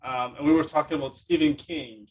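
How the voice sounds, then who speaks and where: Man, fairly high voice, podcast host, casual chat, enthusiastic, rambling. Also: steady tone, fairly quick, poor audio.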